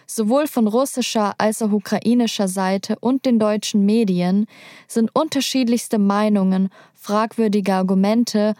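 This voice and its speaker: A young adult woman, a journalist, narrating a personal documentary in a calm, measured, scripted way. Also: mid-range voice, dry recording, studio quality.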